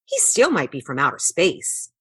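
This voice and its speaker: Woman doing curious lil storybook boy, friendly, animated pitch, dry sound. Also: moderate pace, husky, no room sound, heavily acted. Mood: curious, playful. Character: curious, imaginative young boy, friendly listener. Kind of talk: children's storybook read-aloud.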